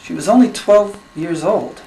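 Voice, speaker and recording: A man hosting a comedy special, presenting mock fun facts with an awkward, halting manner. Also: high-pitched, dark tone. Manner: awkward, halting between segments. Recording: echoey room with faint noise.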